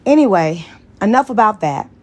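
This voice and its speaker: A woman voicing a confident, fun-loving young female teacher in an audiobook, in a lively, assertive way. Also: medium pitch, moderate pace, pitched above the performer's own voice, natural voice. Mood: playful. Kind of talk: dramatized audiobook dialogue.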